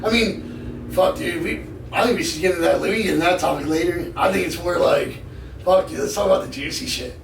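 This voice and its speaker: A man in his thirties talks as a podcast guest in loose, profane slang. His voice is raspy and gravelly and pitched high for a man. It sounds echoey and a little away from the mic. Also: a little fast. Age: 30s. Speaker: podcast guest, gym enthusiast. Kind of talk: casual chat. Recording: echoey, distant mic with noise.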